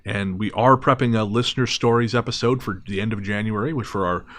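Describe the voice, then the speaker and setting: A man's voice: on the low side, a little fast, a bit bright. Man in his thirties hosting a podcast. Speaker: podcast host. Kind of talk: casual podcast chat.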